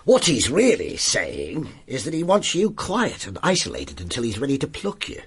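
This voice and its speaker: Very husky, shrewd detective. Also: male voice, mid-range voice, wildly swooping pitch, moderate pace, full character voice. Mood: wry. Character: shrewd, wry male police inspector. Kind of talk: radio drama acting.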